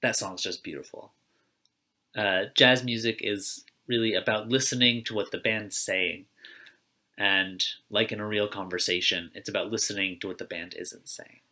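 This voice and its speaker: A man's voice: lowish voice, fairly even intonation, normal speed, sharp tone. A man giving a conversational church reflection, plain-spoken and putting questions straight to his listeners. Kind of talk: conversational sermon on a personal theme.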